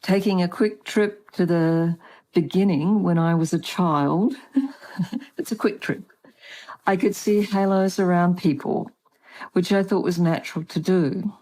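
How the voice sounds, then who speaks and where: Older Australian woman, warm low voice, measured guest storyteller. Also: low-quality recording. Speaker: guest author. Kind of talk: prepared personal account.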